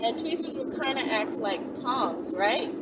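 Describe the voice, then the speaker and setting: Loud vehicle-like noise surrounds a woman speaking at some distance from the microphone. Her voice sits fairly high. She talks casually as a video host, thinking out loud and often checking in with the listener. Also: expressive, a little slow. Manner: chatty, thinks aloud, often checks in with "right" and "you know". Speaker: online video host answering viewer questions. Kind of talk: casual, improvised question-and-answer talk to camera.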